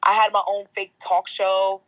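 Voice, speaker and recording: A woman's voice: completely flat delivery, normal speed, a bit bright, no echo, poor audio. A young woman on a podcast, a chatty entertainment host who laughs often and tells stories in slangy, casual talk. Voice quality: deeper natural voice. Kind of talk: casual interview chat.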